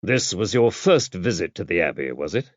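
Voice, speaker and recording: A man voicing a calm, tactful investigator, asking measured, polite questions in an even manner. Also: low voice, no room sound. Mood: politely probing. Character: calm, tactful male investigator, quietly probing and self-possessed.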